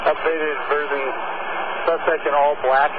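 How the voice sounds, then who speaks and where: A man's voice: on the high side, level tone, measured pace. A man, a police officer, giving terse field reports over police radio. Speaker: police officer at an emergency scene. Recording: tinny radio audio with loud static noise.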